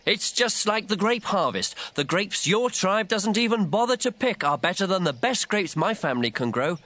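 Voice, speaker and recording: A high-pitched, fiery army commander. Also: male voice, expressive, moderate pace, a bit bright. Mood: placating. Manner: commanding, declamatory delivery. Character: bold, fiery ancient Israelite army commander. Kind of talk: dramatized audio Bible acting.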